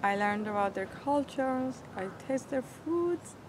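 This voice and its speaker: A woman's voice: high voice, animated, a little slow. Earnest young woman, traffic behind. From Iran. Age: 20s. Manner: earnest, searching for words in a second language. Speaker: interviewee. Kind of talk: personal storytelling.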